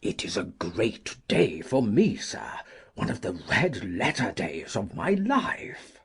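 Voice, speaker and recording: A woman voicing a blustering, pompous old gentleman in an audiobook, with a rough voice and a hearty, gleeful manner. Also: rumbling low, sing-song, takes it slowly, voice pushed down, heavily acted. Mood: boastful glee. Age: older. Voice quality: husky, rough. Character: blustering, gleeful, grey-whiskered old gentleman fond of lawsuits.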